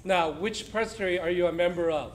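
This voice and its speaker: A man's voice: high-pitched, brisk. Man projecting to a hall. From South Korea. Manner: loud, projecting, chatty with the audience. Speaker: church official speaking to a congregation. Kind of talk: informal talk to a congregation. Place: church hall with a crowd. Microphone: weak room microphone.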